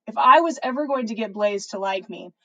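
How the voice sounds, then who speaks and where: A woman's voice: mid-range voice, animated, a little fast, bright, forceful tone. A woman reading a story aloud as a podcast host on a livestream. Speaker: podcast host reading listener fan fiction.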